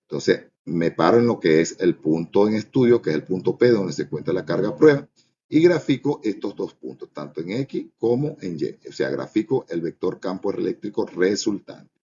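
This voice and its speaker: A man's voice: low voice, lively intonation, normal speed, mellow, highs cut off. A man giving a step-by-step lesson as a physics teacher, in a didactic way with frequent short checks for understanding.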